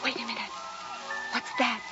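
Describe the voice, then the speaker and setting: A woman's voice: moderate pace, natural voice. A woman playing a frightened, pleading, superstitious wife in a vintage radio melodrama. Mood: horrified. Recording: muffled vintage radio recording with music.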